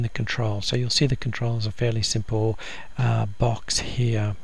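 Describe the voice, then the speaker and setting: A man presenting a software tutorial as a screencast voice-over, explaining informally step by step. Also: medium pitch, gravelly, slight background noise. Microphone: screen-recording voice-over.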